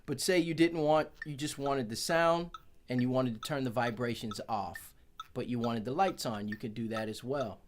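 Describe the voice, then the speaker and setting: Man, drummer, casual gadget review, chatty, self-correcting, mid-pitched voice, talking in an office. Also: normal speed, a little hiss or hum. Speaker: percussionist reviewing a metronome. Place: his office.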